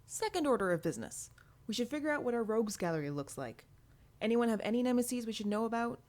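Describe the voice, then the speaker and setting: A woman voicing a calm, organised female superhero team leader in an audiobook, businesslike and patient. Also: a little high, fast, pitched above the performer's own voice, lightly acted.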